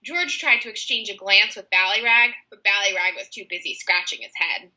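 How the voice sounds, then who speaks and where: A woman narrating a children's book aloud with an expressive storyteller's delivery. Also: medium pitch, moderate pace, low-quality recording.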